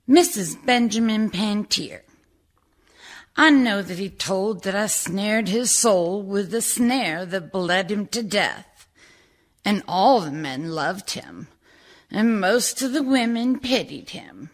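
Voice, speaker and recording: A woman playing a disgusted, refined, resentful wife in a deliberate, indignant radio play monologue. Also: medium pitch, animated. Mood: wounded pride.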